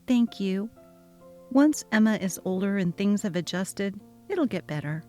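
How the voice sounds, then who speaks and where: A woman's voice: mid-range voice, expressive, unhurried, mellow, faint music behind, natural voice. A young woman voicing an exhausted new mother, speaking earnestly and pleading. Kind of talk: dramatic reading of a text-message story.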